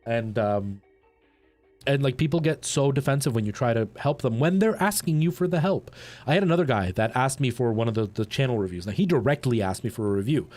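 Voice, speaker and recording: A man hosting a livestream, chatting casually and colloquially with his viewers in a rambling, animated way. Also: mid-range voice, a little fast, faint music behind. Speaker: live streamer and host.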